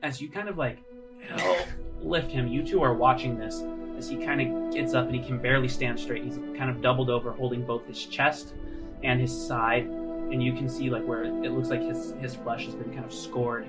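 A man narrates a tabletop roleplaying game as its game master. His voice sits at a middle pitch, and he builds descriptions haltingly as he goes. Music plays clearly behind him. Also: steady tone.

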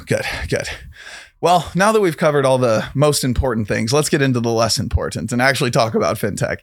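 A man's voice: medium pitch, expressive, a little fast, dry recording, studio quality. A man hosting a podcast interview, chatty and joking, laughing easily with his guest. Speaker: podcast host. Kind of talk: casual interview chat.

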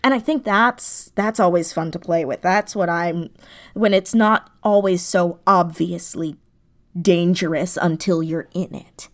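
Thoughtful, halting woman in casual conversation. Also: fairly low pitch. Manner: thoughtful, halting, circles back over phrases. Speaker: interview guest, audio drama creator. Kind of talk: casual, reflective interview chat.

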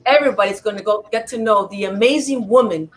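A woman's voice: mid-range voice, quick pace. A woman hosting a talk show, introducing her guest with gushing, repetitive praise.

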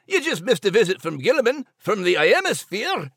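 A man voicing a gruff, loyal male dog with a Scottish accent in an audio storybook. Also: high voice, sing-song, moderate pace, a bit bright, dry recording, clean studio sound, voice pushed up, full character voice. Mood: easygoing. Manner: growling, folksy.

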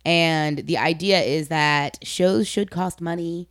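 Casting: female podcast host, low register, earnest and informal, conversational, dry sound. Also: fairly even intonation, takes it slowly, no echo. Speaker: podcast host and comedy performer. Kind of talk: casual conversational interview.

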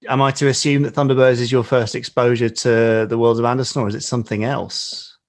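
A man's voice: mid-range voice, level tone, brisk. A man hosting a podcast interview, playful and joking at his own expense. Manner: playful, jokey, self-deprecating. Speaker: podcast host. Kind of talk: casual interview.

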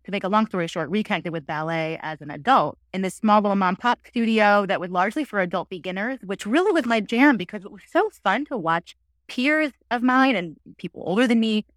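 A woman who is a priest, telling her story as a guest on a podcast in an earnest, expressive way. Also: brisk, no room sound. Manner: earnest and expressive, with lively pitch jumps. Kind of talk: conversational interview storytelling.

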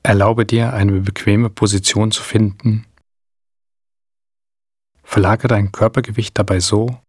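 A man narrating a guided meditation in a calm, soothing, measured way with long pauses, his voice soft and slightly husky. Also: fairly low pitch, no echo, clean studio sound.

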